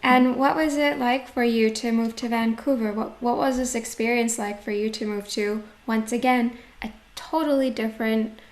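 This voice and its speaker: A woman's voice: a little high, fairly even intonation, moderate pace, few pauses, roomy sound. A woman hosting an interview, asking curious questions and reacting with laughs. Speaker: podcast host.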